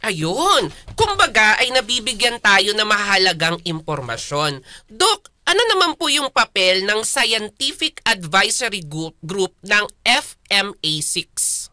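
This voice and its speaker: A woman's voice: medium pitch, very animated, measured pace, bright, forceful tone, dry recording. A woman co-hosting a radio show, introducing and interviewing guests in a presenter's scripted style. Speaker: radio co-host.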